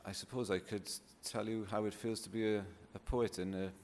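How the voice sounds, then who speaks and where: A man with a low-pitched voice and a Welsh accent speaks to a room in an unscripted talk. His delivery is halting and thoughtful, as a native Welsh speaker choosing his words. The hall rings with long reverb, and there is faint noise behind him. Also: steady tone, a little mellow. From Wales. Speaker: poet and children's laureate giving a talk. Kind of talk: informal unscripted public talk. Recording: echoey hall, clear background noise.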